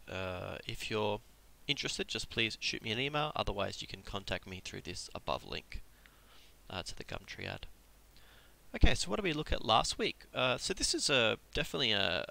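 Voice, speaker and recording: A man's voice: fairly low pitch, creaky, sharp tone. A man with a cold giving a casual live-streamed Japanese lesson, explaining step by step with frequent ums and restarts. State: has a cold. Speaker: Japanese tutor.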